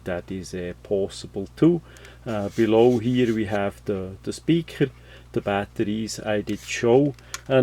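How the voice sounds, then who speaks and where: A man with a fairly low voice talks very slowly through an unscripted gadget review. He is matter-of-fact and halting, often pausing as he explains practical details. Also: a little mellow. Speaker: product reviewer.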